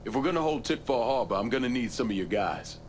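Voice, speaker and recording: An actor playing a tough, vengeful American war veteran turned crime boss in a video game, speaking tersely and bluntly. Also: male voice, medium pitch, animated, fast talker, very noisy, close to an everyday voice. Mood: cool, businesslike. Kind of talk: video game cutscene acting.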